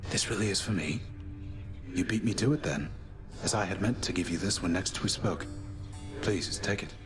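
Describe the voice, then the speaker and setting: An actor playing a calm, noble warrior mentor in a video game, measured, courtly and gentle. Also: male voice, low voice, over music, close to an everyday voice. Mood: touched, gracious. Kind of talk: video game character acting.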